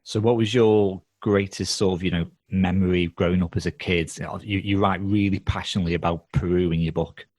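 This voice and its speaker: Man, podcast host, very deep voice, hesitant questions, dry close sound. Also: fairly even intonation, a little fast, hardly any pauses, a little mellow, no echo. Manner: hesitant, filler-heavy questioning. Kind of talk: interview questions.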